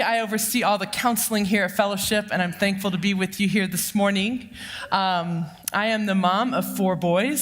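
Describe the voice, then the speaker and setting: A woman in her fifties with a loud voice, giving a lively, humorous sermon full of personal stories. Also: medium pitch. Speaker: church counseling director giving the sermon. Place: church sanctuary. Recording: long reverb of a church hall.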